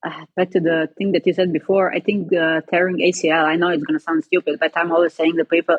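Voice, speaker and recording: A woman's voice: sits low, husky, no room sound, phone-quality sound. A young woman from Serbia with a Serbian accent, chatting casually in a podcast interview and filling her talk with "you know" and "like.". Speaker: guest, footballer and coffee entrepreneur.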